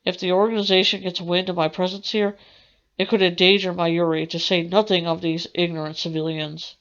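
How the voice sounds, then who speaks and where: A woman's voice: lowish voice, flat delivery, a little fast. A woman game streamer reading visual novel narration aloud in a theatrical way. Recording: dull audio with faint music.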